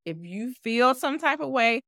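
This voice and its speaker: A woman co-hosting a podcast who talks loudly with a bassy voice, measured and assured. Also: lively intonation, no echo, clean studio sound. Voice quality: loud with a bassy voice. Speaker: podcast co-host. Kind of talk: casual conversation.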